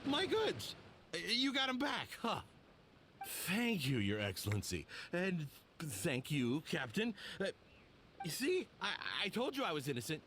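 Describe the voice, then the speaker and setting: Brash male merchant for a game: high, wildly swooping, self-serving and mouthy, faint background noise. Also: wildly swooping pitch, measured pace, a bit bright, exaggerated voice. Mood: relieved, fawning. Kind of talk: scripted video game dialogue.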